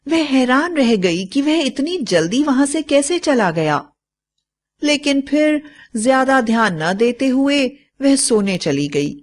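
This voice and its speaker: A woman's voice: sits high, sing-song, takes it slowly. A woman narrating a scripted story as a storyteller.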